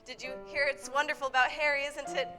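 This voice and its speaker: Young actress as a soothing young mother, high voice, reverb of a hall, music behind. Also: level tone, quick pace, natural voice. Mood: cheerful. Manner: soothing and reassuring. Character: warm, patient young wife and mother. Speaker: stage actress in live radio play. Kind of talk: 1940s radio acting. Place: theater stage with audience. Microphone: vintage stand microphone.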